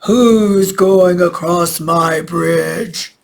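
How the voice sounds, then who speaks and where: A woman voicing an ugly, greedy, hungry male troll for a children's storybook, growling out slow, menacing threats. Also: lowish voice, creaky, slight background noise, exaggerated voice. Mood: menacing, impatient. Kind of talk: children's storybook read-aloud.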